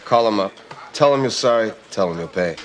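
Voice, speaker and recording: A man acts a wary, gruff police detective for television drama. He talks in short, blunt phrases in a somewhat low voice. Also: lively intonation, a little fast, lightly acted. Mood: exasperated. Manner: blunt, terse.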